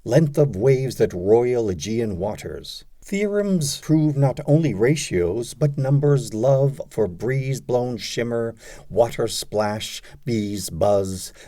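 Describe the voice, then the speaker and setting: Slow, highly expressive man reciting poetry. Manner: deliberate, dramatic phrasing with frequent pauses. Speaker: audiobook reader of poetry.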